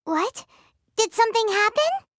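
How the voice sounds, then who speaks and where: A woman voicing a small, eager, chatty fairy companion in a video game, with a squeaky childlike voice. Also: big pitch swings, unhurried, dry recording, clean studio sound, heavily acted. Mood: excited, surprised. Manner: talks of self in third person, exclamatory. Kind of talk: video game character dialogue.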